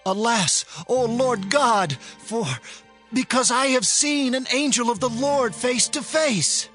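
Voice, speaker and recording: A man's voice: very high voice, takes it slowly, fairly bright tone, faint music behind, full character voice. An actor playing a humble, anxious man who pleads fervently and theatrically in a dramatized scripture recording. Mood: frightened.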